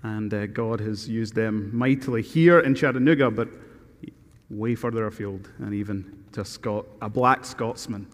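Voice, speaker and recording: A middle-aged man from Scotland with a thick Glaswegian accent, preaching a sermon as guest preacher and reading scripture with slow, earnest emphasis at a podium mic. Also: fairly low pitch. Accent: Glaswegian Scottish. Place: large church sanctuary. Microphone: podium microphone. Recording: long church reverb.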